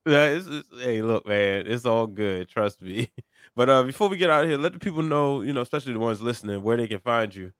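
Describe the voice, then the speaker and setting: A man in his thirties hosting a podcast, easygoing and quick to laugh. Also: mid-range voice, animated, smooth, no echo, clean studio sound. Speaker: podcast host. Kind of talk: casual chat.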